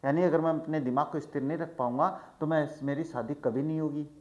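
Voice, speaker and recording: A male teacher in a chatty lesson, who reads English lines slowly and then explains each one in Hindi. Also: a little high, fairly even intonation, a little mellow. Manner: chatty, addresses students as "bhai". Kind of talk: line-by-line textbook explanation.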